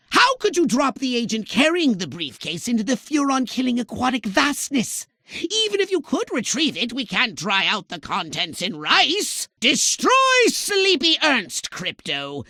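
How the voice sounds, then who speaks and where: Haughty alien commander, wildly swooping delivery. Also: male voice, very high voice, sharp tone, dry recording, clean studio sound, heavily acted. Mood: sardonic, disdainful, then urgent, gleeful. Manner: pompous, theatrical, clipped enunciation, sardonic. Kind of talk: scripted video game mission dialogue.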